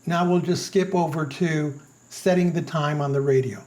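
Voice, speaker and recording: A man's voice: fairly high pitch, fairly even intonation, moderate pace, darkish tone. A man reading a scripted product review as its narrator.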